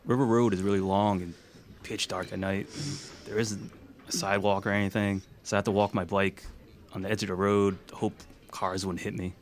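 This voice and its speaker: A man's voice: fairly low pitch, little pitch movement, fairly quick, a little hiss or hum, close to an everyday voice. A man playing an easygoing, plain-spoken young guy in a naturalistic audio drama, talking casually. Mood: confiding. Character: easygoing young man confiding past events.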